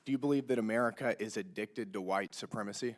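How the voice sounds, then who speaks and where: A man's voice: lowish voice, fast talker. A congressman, a man getting over a cold with his voice partly lost, putting pointed questions to a witness at a hearing.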